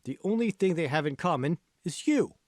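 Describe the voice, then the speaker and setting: A man reads the part of a patient, encouraging male coach in an audiobook story. He instructs emphatically, with lively swings in pitch, and the recording is close and dry. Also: moderate pace, no echo, lightly acted. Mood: insistent. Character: John Hall, patient, encouraging male fitness mentor.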